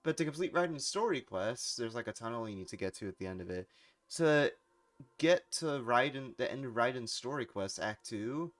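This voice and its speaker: A man's voice: mid-range voice, expressive, moderate pace, fairly bright tone. A man casually commentating on a game as he plays live, rambling and thinking aloud. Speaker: game streamer.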